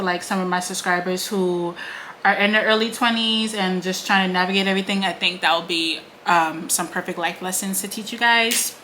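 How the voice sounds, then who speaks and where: A woman's voice: mid-range voice, a bit bright, slight background noise. A woman in her late twenties chatting casually at home while doing a makeup tutorial, with lots of "like" and "um" fillers. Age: late 20s. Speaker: beauty vlogger. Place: home, indoors.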